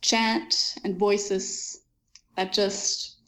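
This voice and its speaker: A young Danish woman speaks in English as an author being interviewed, with a middle-pitched voice. She thinks aloud, pausing with small ums, and laughs easily between thoughts. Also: level tone, fairly bright tone. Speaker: author guest. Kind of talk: interview conversation.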